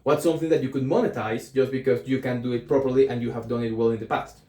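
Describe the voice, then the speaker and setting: A man's voice: medium pitch, steady tone, fast, dark tone. A young man with a Colombian accent talking casually to camera in a reflective vlog, thinking aloud with frequent ums and self-corrections. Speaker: vlogger. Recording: echoey room.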